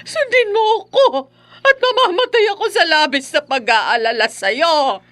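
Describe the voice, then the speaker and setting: High-pitched, scolding anxious mother. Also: measured pace, fairly bright tone. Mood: tearful pleading. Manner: melodramatic, reproachful. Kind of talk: horror radio drama acting.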